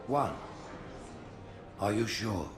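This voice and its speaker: A commanding alien prophet speaks low and solemn. His delivery is measured and grand, a stern judge in a game cutscene, over clear music. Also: animated. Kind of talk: dramatic video game cutscene acting.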